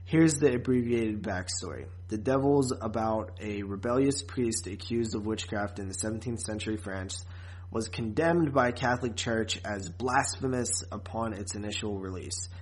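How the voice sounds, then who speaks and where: A man's voice: on the low side, normal speed, poor audio. Casual male podcast co-host, repeating himself.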